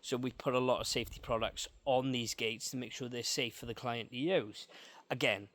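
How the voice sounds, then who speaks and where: A man presenting as a repair technician in an informal explainer, going step by step with halting pauses while filming outdoors. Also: mid-range voice, steady tone, a bit bright. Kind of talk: informal explainer vlog from a job. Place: outdoors beside an electric gate.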